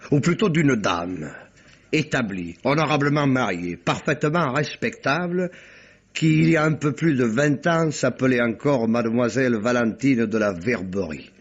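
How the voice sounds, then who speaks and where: An actor in an old radio mystery playing a wily, jovial detective posing as a talkative gentleman from Provence, theatrical and deferential as he winds through his account. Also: mid-range voice, moderate pace, breathy edge, close to an everyday voice. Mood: confiding, sly. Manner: voluble, theatrical, digressive, deferential. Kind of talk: old French radio mystery drama acting. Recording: muffled vintage radio recording.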